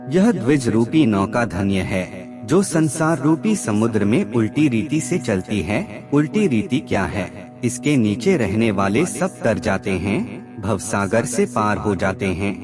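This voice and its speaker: A man reading aphorisms as scripted narration, in a measured, formal reciting manner. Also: lowish voice. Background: background music.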